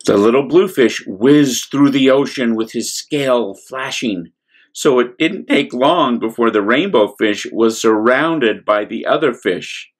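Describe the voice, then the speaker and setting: A man telling a children's bedtime story in an expressive, deliberate way, with little asides to listeners. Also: mid-range voice. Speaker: priest reading a storybook.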